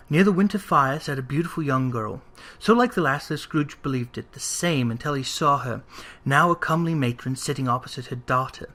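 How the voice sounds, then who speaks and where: Audiobook narrator reading an old novel, measured and expressive, middling pitch. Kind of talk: scripted literary narration.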